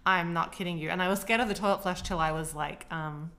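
A woman's voice: fairly low pitch, steady tone, fast talker, bright, forceful tone. A woman in her thirties from Australia with an Australian accent, co-hosting a casual chat and laughing often as she tells stories. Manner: chatty, laughs often, self-deprecating. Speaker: podcast co-host.

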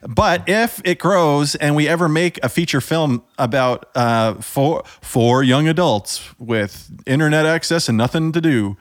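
A man's voice: on the high side, unhurried, hardly any pauses, no echo, studio quality. A man hosting a podcast, chatting casually in a wry, joking way. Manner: jokey, wry. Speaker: podcast host. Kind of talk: casual chat.

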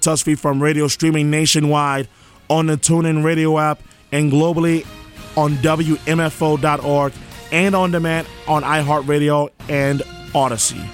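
A man's voice: fairly high pitch, level tone, moderate pace, soft background music. A man hosting a live college radio show, speaking earnestly with a sore, cracking voice. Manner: earnest, heartfelt thank-yous and station promos. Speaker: radio host. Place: radio studio. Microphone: studio microphone.

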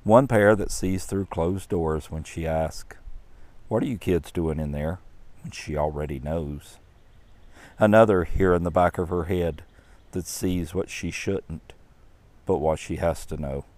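A man voicing the Lord in a storybook poem: a tireless male creator, earnest and proud, read in an unhurried, earnest way. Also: low voice, darkish tone, dry recording.

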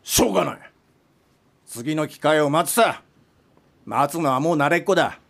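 Gruff, creaky old film director. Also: male voice, a little high, expressive, normal speed, a bit bright. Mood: defiant, resigned. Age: older. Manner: coarse, blustering rough speech. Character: gruff, stubborn, hot-tempered elderly male film director. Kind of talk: radio drama acting.

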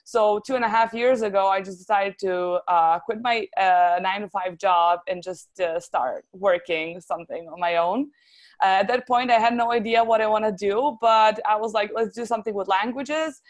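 A woman's voice: mid-range voice, normal speed. A woman from Serbia with a Serbian accent, talking casually and chattily as an interview guest. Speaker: interview guest, translation agency founder.

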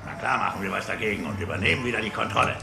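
Irritable gravelly gangster, animated. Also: male voice, on the low side, very fast, over music. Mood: domineering, grim. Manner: brusque, impatient, swearing. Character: irritable self-important male gangster taking charge, paranoid. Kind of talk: German-dubbed TV drama acting.

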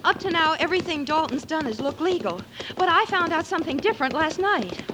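A woman's voice: very high voice, lively intonation, a little fast. A woman acting an anxious, earnest young ranch daughter in an old radio western. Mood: anxious, urgent. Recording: noisy old radio recording.

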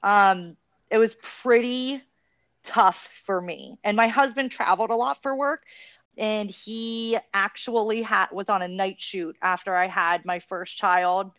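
A woman's voice: mid-range voice, fairly even intonation, a little slow, fairly bright tone, no echo. A woman hosting a casual podcast interview, telling her own story in a chatty way with plenty of "like.". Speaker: podcast host interviewing guests. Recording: dull dry lo-fi sound.

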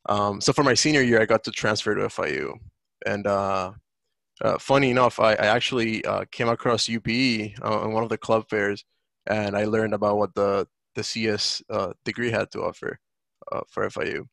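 A man's voice: lowish voice, fairly even intonation, normal speed, gravelly, a bit bright, dry recording. A young man from the Dominican Republic, a student guest talking casually with lots of fillers. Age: young adult. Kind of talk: online panel Q&A.

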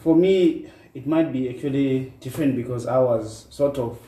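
A man's voice: mid-range voice, normal speed, mellow. A man in his thirties with a South African accent, talking casually and reflectively as an interview guest. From rural South Africa. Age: 30s. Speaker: podcast guest. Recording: roomy echo, distant mic, faint noise.

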